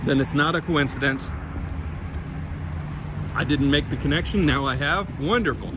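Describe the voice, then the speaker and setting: A man's voice: a little high, moderate pace. A middle-aged man from Kentucky with a slight Southern twang and drawl, chatting with viewers as he walks through a city. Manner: friendly, reads out viewer comments. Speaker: walking vlog host. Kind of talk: live-stream chat while walking. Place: outdoors on a city street. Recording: street noise behind the voice. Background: traffic noise.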